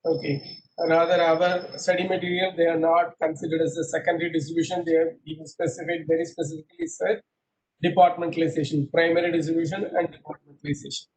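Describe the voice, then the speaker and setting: A man's voice: on the high side, little pitch movement, fast, a little mellow, poor audio. Man teaching a class on a video call. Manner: mixes English with Hindi, questioning, repeats himself. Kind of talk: online class lecture, quizzing students. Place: small room indoors. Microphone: laptop webcam on a video call.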